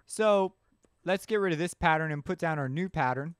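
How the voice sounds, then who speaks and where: A man narrating a casual software tutorial, talking informally as he thinks aloud. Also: a little high, normal speed, smooth, dry recording, clean studio sound. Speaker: tutorial host. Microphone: close mic screencast voice-over.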